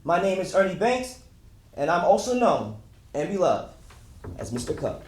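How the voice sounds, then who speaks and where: A proud retired baseball star declaims his career, played by a man in a staged reading. His high voice carries through an echoing room with a faint noise behind it. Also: very animated, normal speed. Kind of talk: dramatic reading, first-person monologue.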